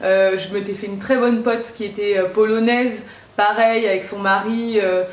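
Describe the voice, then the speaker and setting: A woman's voice: mid-range voice, fairly even intonation, normal speed. Young woman vlogger, casual storytime, lots of fillers, echoey room. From Corsica. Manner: chatty, lots of fillers and slang. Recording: roomy echo.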